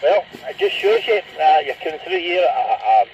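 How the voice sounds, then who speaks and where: A man's voice: on the high side, wildly swooping pitch, normal speed. A Scottish man chatting casually as an operator over a CB radio link. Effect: CB radio transmission. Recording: dull, noisy CB radio link.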